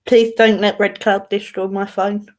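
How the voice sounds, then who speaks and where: A woman's voice: mid-range voice, level tone, measured pace. An English woman hosting a casual live stream, chatting with viewers by name and giving card readings. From England. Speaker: host and card reader.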